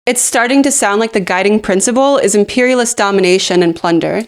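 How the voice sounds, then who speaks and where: A woman's voice: medium pitch, brisk, noisy background. A woman co-hosting a political show in a scripted back-and-forth commentary.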